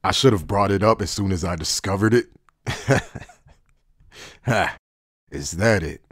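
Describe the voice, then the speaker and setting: A man playing a cynical, coolly defiant outcast in an anime fan dub, with a deep, husky, mocking delivery. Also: a little slow, dry recording, clean studio sound. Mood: scornful. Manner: mocking, sardonic.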